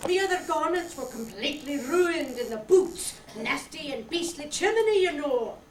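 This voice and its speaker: Tiny jolly circus man played by a woman, very high voice, old-radio-play manner, roomy distant recording. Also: animated, normal speed, a little hiss or hum. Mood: jolly, excited. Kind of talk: amateur radio drama reenactment.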